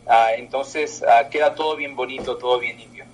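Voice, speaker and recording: A man in his twenties whose first language is Portuguese, answering interview questions in halting speech with many pauses. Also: mid-range voice, steady tone, a little hiss or hum, low-quality recording. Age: 20s. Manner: halting, with many filler sounds. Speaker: political candidate. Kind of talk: interview by phone.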